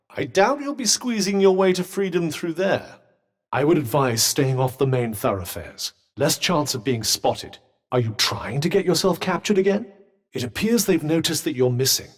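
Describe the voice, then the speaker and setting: A man playing a sardonic, haughty talking magical vambrace in a video game, with a British accent and a dry, wry, pompous manner. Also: a little high. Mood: dry, advising. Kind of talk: video game companion dialogue.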